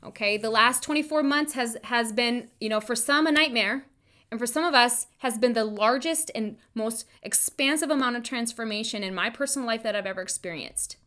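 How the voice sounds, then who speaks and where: A woman's voice: a little high, a little fast, bright, forceful tone. A woman hosting a motivational solo podcast, speaking emphatically and informally straight to her listeners, repeating phrases for emphasis. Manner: informal direct address to listeners. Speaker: podcast host, chiropractor and wellness coach.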